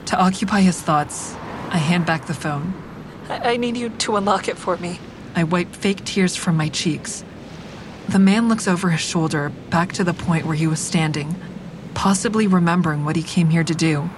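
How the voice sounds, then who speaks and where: Woman narrating as a guarded, grieving heroine. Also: natural voice. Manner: measured, introspective narration. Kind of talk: first-person audio drama narration. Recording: constant vehicle rumble behind.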